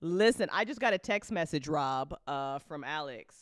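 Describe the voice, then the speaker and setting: A woman's voice: low voice, lively intonation, moderate pace, no echo, clean studio sound. A woman hosting a live after show in casual, joking chat, playfully repeating phrases for emphasis.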